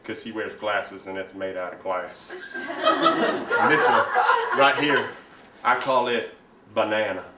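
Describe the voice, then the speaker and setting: A man performing a timid, whiny, childishly earnest Southern mama's boy in a halting, naive way in a comic stage piece. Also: low-pitched, little pitch movement, pitched below the performer's own voice, close to an everyday voice. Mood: proudly earnest. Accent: American South. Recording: roomy, distant mic with hiss.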